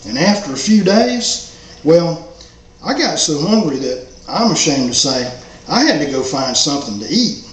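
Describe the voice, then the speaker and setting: A man narrates as a humble, hopeful stray dog, with folksy country phrasing. His slightly high voice swings widely in pitch as he tells the story. The recording is roomy and echoey. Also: very animated, normal speed. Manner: folksy, drawling rural phrasing. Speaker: author reading own story. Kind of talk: first-person character storytelling. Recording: roomy echo, faint background noise.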